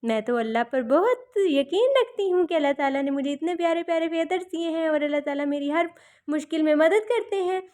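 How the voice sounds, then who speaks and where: Woman playing a tiny fairy-tale girl with wings, high pitch, sweet, earnest. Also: lively intonation, brisk, a little mellow. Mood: proud, grateful. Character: tiny winged girl, kind, cheerful and self-assured.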